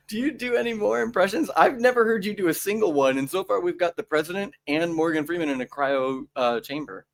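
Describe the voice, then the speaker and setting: A man's voice: high-pitched, lively intonation, moderate pace, gravelly. A young man hosting a casual podcast chat, joking and playful, often stumbling and restarting his words. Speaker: podcast host.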